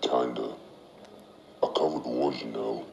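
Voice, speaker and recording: An actor playing a wry, weary war reporter in a video game, talking casually and reluctantly. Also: male voice, rumbling low, a little slow, gravelly, a little mellow, muffled recording, a little hiss or hum, heavily acted. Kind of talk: video game voice acting clips.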